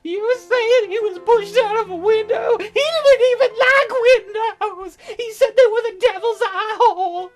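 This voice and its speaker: A woman's voice: very high-pitched, measured pace, hardly any pauses, soft background music, pitched above the performer's own voice. A woman voicing a sweet, shocked young woman jogger in a visual novel. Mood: shocked, distraught.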